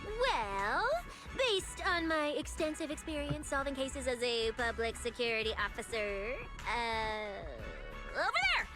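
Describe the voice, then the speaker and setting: An actress playing an eager, chatty young female public security officer in a video game, with an animated, theatrical delivery. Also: high-pitched. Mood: eager, suspicious. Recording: game music behind the voice.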